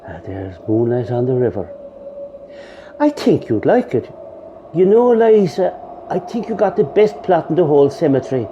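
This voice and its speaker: A man's voice: a little high, very animated, normal speed, warm tone, lo-fi sound, slight background noise. A man playing a grieving older Irish widower in a radio play, wry and rambling, with an Irish accent. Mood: tender, mournful. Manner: rambling, conversational, emotive.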